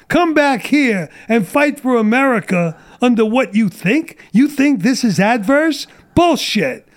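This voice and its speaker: A middle-aged man with a high voice rants in a casual podcast chat, blunt and profane. His voice is wearing out and getting strained. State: losing his voice. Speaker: guest.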